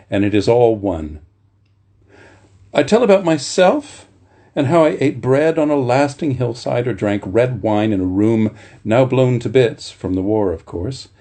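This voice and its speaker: A man reading literature aloud in a measured, deliberate way, with the informal touch of a bedtime story. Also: mid-range voice, warm tone. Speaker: reader at a bedtime story series.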